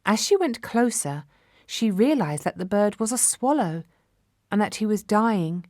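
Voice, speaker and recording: A woman storyteller reading a scripted children's fairy tale in a measured, expressive way. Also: medium pitch, no room sound, clean studio sound.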